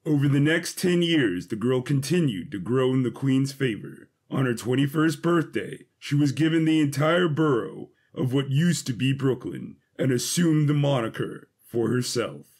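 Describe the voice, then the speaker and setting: A man with a husky voice giving a scripted, dramatic narration of an adventure story. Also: mid-range voice, animated, moderate pace, few pauses, darkish tone.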